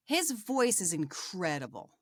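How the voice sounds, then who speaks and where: A woman's voice: on the low side, sing-song, measured pace, a crowd in the background. A woman mentoring voice actors, giving chatty feedback full of fillers and restarts. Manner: rambling with many fillers and restarts. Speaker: voice acting mentor. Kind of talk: conversational feedback chat.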